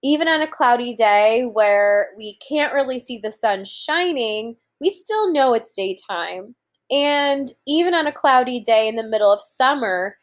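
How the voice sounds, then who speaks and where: A woman in her thirties reading aloud to young children as a teacher, pausing to explain things simply and deliberately. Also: fairly high pitch. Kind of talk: children's read-aloud lesson with explanatory asides. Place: room at home, indoors.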